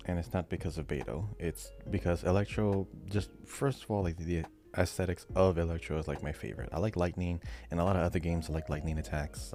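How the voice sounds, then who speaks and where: A man's voice: low voice, warm tone. A man talking casually as co-host of a podcast. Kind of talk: casual chat.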